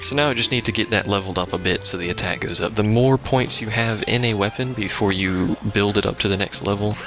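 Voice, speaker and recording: A man with a lowish, very creaky voice talks casually through a video game. He rambles along with dry, sarcastic asides while game music plays clearly behind him. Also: fast talker. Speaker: let's play host. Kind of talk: casual gameplay commentary. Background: game music and sounds.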